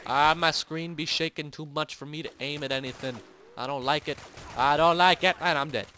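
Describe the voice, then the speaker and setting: A young man casually commentating on a shooter game, joking and getting loud when excited. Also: a little high, normal speed, a little hiss or hum. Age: young adult. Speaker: gaming channel host. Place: home, recorded late at night.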